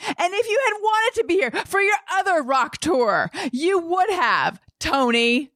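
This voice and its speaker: A woman's voice: high voice, fairly bright tone, no room sound. Wry American woman hosting, close dry sound. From United States (Orange County, California). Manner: wry, mock-serious, rambling. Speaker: podcast host. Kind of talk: casual podcast monologue and interview chat.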